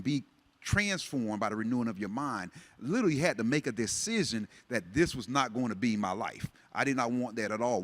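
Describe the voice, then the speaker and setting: A man's voice: mid-range voice, fairly bright tone. A man born in Jamaica and raised in the United States, giving earnest, reflective personal testimony on a panel. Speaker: relationship panel guest.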